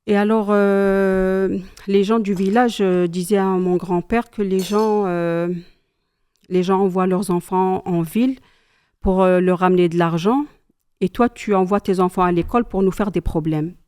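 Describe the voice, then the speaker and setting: A middle-aged woman from Algeria talks over coffee about her past and her children. Her voice is mellow and relaxed, and she tells her memories calmly and thoughtfully, with pious asides. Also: mid-range voice, unhurried, clear, resonant tone. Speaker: mother sharing memories. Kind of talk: casual chat.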